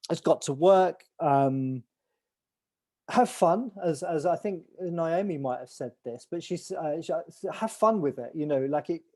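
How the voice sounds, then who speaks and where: Mellow-voiced man briefing a call. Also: fairly high pitch. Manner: rambling, many restarts and fillers. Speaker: event organizer hosting an online call. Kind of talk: casual briefing on a video call.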